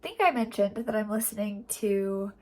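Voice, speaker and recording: A woman's voice: mid-range voice, normal speed, a little mellow. A young woman with a cold and a congested, nasal voice, chatting casually in a rambling reading update full of fillers. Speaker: book vlogger.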